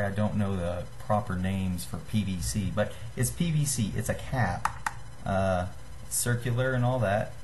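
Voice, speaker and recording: Young man, casual how-to, noisy webcam recording. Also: darkish tone. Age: young adult. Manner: apologetic, hesitant, self-deprecating. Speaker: DIY video maker giving a tutorial. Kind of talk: casual unscripted how-to talk. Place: small room, indoors. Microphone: built-in webcam at desk distance. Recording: noisy low-grade webcam microphone.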